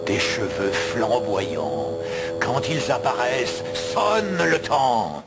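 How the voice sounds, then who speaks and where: A man's voice: medium pitch, sing-song, drawn-out words, creaky, exaggerated voice. A man playing a grandiose, menacing, scheming cartoon villain who wants a throne, in French dub acting. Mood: ominous. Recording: loud music under the voice. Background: loud music.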